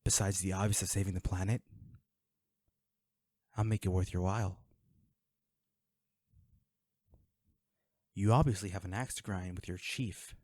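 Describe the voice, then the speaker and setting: A man's voice: deep voice, steady tone, a little fast, darkish tone, no room sound. A man playing a guarded, wealthy vigilante in an audio drama, speaking in a curt, sarcastic and threatening way to the listener. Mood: bargaining.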